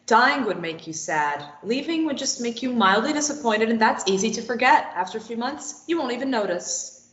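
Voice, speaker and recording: A woman's voice: a little high, lively intonation, moderate pace, fairly bright tone. Woman acting sarcastic runaway woman, echoey room. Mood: bitter, resigned. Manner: sarcastic, dismissive. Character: bitter, self-deprecating young woman desperate to run away. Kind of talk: table read of a stage play. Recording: dull, roomy, echoey recording.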